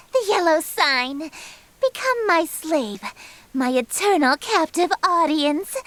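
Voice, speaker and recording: A woman's voice: high voice, fairly bright tone, dry recording, exaggerated voice. A woman voicing a haughty, theatrical female eldritch deity in an anime-style visual novel game. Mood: imperious, mocking. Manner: theatrical, domineering, grandiose.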